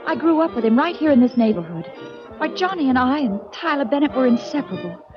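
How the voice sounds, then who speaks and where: An actress playing a young nightclub singer in a 1940s radio drama, earnest and pleading. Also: female voice, high voice, expressive, fast, music in the background. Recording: dull old radio recording.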